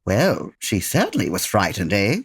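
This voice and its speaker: A man playing a learned, eager gentleman detective, formal and theatrical, in a comedy audio drama. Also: lowish voice, huge pitch swings, normal speed, noisy background. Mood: intrigued, explanatory. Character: learned, eager, gentlemanly Victorian detective, patient but exasperated.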